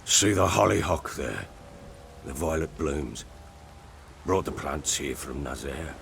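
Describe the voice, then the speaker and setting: Gruff grieving baron, middle aged, slow and forceful, blunt, moody game character. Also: male voice, rumbling low, animated, a little hiss or hum, heavily acted. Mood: bitter, grieving. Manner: brooding, blunt, agitated when pressed. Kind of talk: dramatic video game acting.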